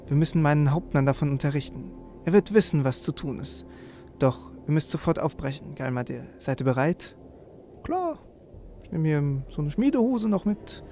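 Guy doing game commentary, kinda high gravelly voice, game music behind. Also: lively intonation, moderate pace, warm tone. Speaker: let's play host. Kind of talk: casual game commentary and reading quest text aloud.

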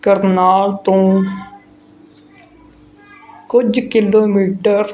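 A narrator reading a folk tale aloud in a halting way, phrase by phrase. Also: medium pitch, flat delivery, dark tone. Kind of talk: slow read-aloud storytelling narration. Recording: some background noise.

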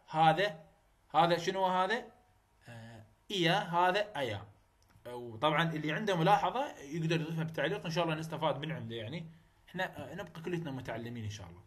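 Iraqi man teaching English sounds. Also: on the high side. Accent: Iraqi Arabic. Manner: repeats model words loudly and slowly. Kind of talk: screen-recorded lecture.

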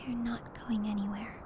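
A woman playing a gentle, affectionate young pony princess in a soft, soothing, often whispered bedtime ASMR roleplay. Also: on the high side, heavily acted. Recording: loud steady rain-like ambient noise. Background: rain ambience.

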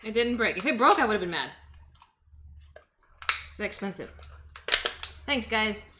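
A woman's voice: medium pitch, animated, unhurried, very noisy. A young woman from Los Angeles chatting casually with her stream while cooking in a home kitchen, answering chat as she chops. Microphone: desk microphone on the counter.

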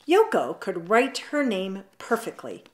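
A woman reading a children's picture book aloud at home, in an expressive, drawn-out storybook manner. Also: mid-range voice. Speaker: storytime reader. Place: indoors at home, seated on a leather couch.

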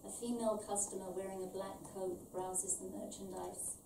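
A middle-aged woman from London, born in Ireland, reading her own short story aloud in a measured, deliberate way. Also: medium pitch, little pitch movement. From born in Ireland, raised in London. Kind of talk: scripted literary reading aloud. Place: small event venue with stage, indoors. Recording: roomy, distant, faint background noise.

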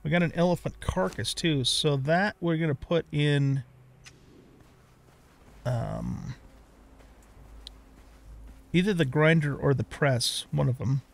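A man's voice: on the high side, big pitch swings, slow pace, gravelly, pauses often. A middle-aged man giving casual let's play commentary, chatting and thinking aloud as he goes. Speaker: gaming let's play host.

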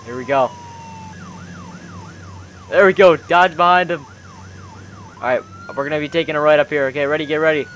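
A man's voice: fairly high pitch, fairly even intonation, normal speed, pauses often, fairly bright tone, slight background noise. A young man commentating on gameplay casually with friends, exclamatory and full of slang like bro and dude. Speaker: gaming video host.

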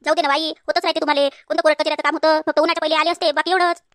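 Woman doing a bossy cartoon daughter, super high voice, talks crazy fast, varhadi marathi village accent. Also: little pitch movement, fairly bright tone, dry recording, studio quality. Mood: chatty. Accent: Varhadi Marathi from Vidarbha. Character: bossy married daughter, fussing over her parents, quick to scold. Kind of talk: comedy cartoon dialogue in rural dialect.

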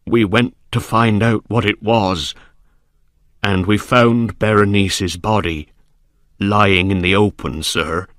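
A man's voice: on the low side, steady tone, slow, fairly bright tone, no room sound, studio quality. A man voicing a terrified male servant, shaken and urgent, in a gothic story reading. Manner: shaking, urgent, deferential.

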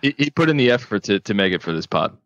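A man, a podcast guest, talking in a relaxed way with many ums, from a studio space. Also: on the low side, fast, no echo, highs cut off. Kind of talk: casual interview.

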